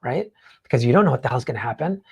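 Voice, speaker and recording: A co-host in a casual, opinionated chat, speaking bluntly and haltingly, often checking in mid-thought and swearing casually. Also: medium pitch, lively intonation, creaky, breathy edge. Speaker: talk show co-host.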